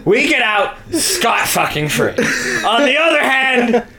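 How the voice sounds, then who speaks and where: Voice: medium pitch, sing-song, slow, a loud crowd. A podcast co-host making casual comic commentary in a wry, joking, sweary way.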